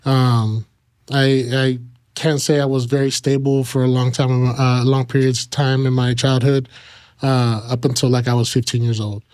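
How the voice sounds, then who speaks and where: A man in his thirties with an American accent shares his life story in a casual interview. His voice is clear and resonant and stays fairly level in pitch. He feels his way through sentences haltingly, pausing often on small fillers. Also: flat delivery, a little mellow. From Kansas and Mexico. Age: 30s. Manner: halting, frequent "you know" pauses. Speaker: interview guest.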